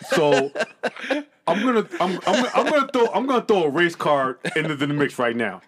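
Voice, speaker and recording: Brief: man, light Boston accent, high, swooping delivery, loud and profane chatter. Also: normal speed. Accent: light Boston, New England. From Boston area, New England. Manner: loud, profane, animated storyteller. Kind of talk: casual podcast banter.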